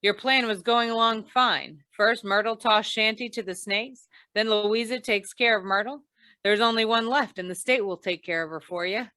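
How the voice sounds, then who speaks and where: A woman's voice: a little high, moderate pace, bright, forceful tone, no echo. A woman playing a wry, hard-boiled insurance investigator, narrating an old-time radio noir in slangy style. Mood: sardonic.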